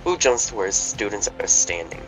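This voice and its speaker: A man's voice: lowish voice, sing-song, fairly quick, low-quality recording. A man reading the stage directions aloud as narrator of a table read, in a plain, matter-of-fact way. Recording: music under the voice.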